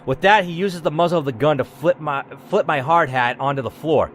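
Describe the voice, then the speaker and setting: A man's voice: on the high side, a little fast, a little hiss or hum. A man hosting a gaming video, narrating a text adventure theatrically, with casual, blunt, sweary asides.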